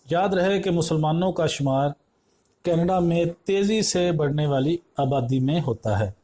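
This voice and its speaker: Guy reading a news script, kinda high pitched but mellow, formal and measured. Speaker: news report narrator.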